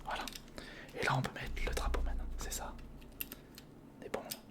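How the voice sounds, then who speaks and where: A man whispering breathily in a casual ASMR commentary, murmuring step by step to the viewer as if to a friend. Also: fairly quick. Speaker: ASMR video creator, host. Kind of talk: ASMR, casual running commentary while building a model. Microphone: close recorder microphone.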